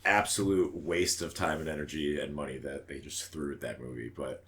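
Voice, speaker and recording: A man's voice: very deep voice, steady tone, normal speed, fairly bright tone, a little hiss or hum. A man co-hosting a casual movie podcast, talking in a blunt, joking way with plenty of swearing. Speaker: podcast co-host. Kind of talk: casual chat about movies.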